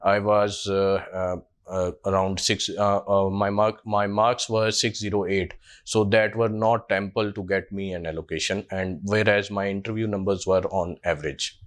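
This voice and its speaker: A man's voice: on the low side, level tone, normal speed. Hesitant Pakistani man in his twenties. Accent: Pakistani English. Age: 20s. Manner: hesitant, many uh fillers and restarts. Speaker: job candidate. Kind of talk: mock job interview answers.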